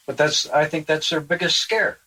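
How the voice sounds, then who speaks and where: A man's voice: on the high side, bright, forceful tone, husky, poor audio. A man in casual conversation, plain-spoken with fillers and asides. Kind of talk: casual chat.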